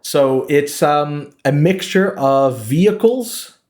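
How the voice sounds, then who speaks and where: A man's voice: fairly high pitch, animated, slow, darkish tone. A man in his late twenties, podcast co-host and editor, chatting in an easygoing way and laughing often. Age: 20s. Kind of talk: casual chat.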